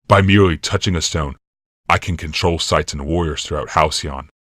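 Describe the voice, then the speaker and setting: An imperious male ruler of a fantasy audiobook, acted out by a man, with an extremely low voice. He speaks in a measured, commanding way with calm condescension, recorded very dry and close. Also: no echo. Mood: proud, calculating. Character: imperious male military ruler, calm, calculating, gently mocking. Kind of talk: audiobook character dialogue.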